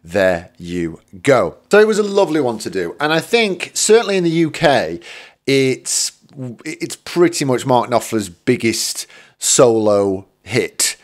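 A man's voice: medium pitch, sing-song, a little slow. A middle-aged man from the UK giving a casual guitar lesson in a small home studio, chatty and self-deprecating, with plenty of fillers. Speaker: guitar teacher. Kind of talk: casual spoken tutorial. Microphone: studio condenser mic in front.

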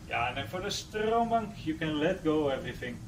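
A man's voice: fairly high pitch, big pitch swings, brisk, echoey room, far from the mic, a little hiss or hum, low-quality recording. A male ship captain giving short, clipped docking commands by radio from the wheelhouse. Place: small ship's wheelhouse, indoors.

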